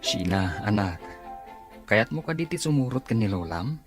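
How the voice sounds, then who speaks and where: A man's voice: on the low side, sing-song, normal speed, loud music behind the voice. An actor playing the father of a family in a radio drama. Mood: gentle. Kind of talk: Ilocano radio drama acting.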